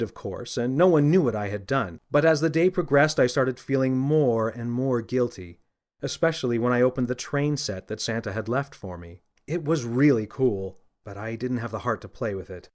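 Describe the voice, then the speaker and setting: A man narrating a scripted children's story in the first person with an expressive, dramatic delivery. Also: medium pitch. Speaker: author narrating own audiobook.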